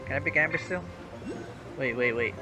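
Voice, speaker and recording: A man's voice: mid-range voice, normal speed. A man streaming a video game, commenting casually, joking and reacting aloud as he plays. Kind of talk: casual livestream gameplay commentary. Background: game music and sounds.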